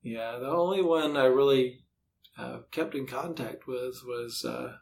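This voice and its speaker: A man's voice: medium pitch, measured pace, creaky. A middle-aged American man chatting casually and easily as an author being interviewed. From United States.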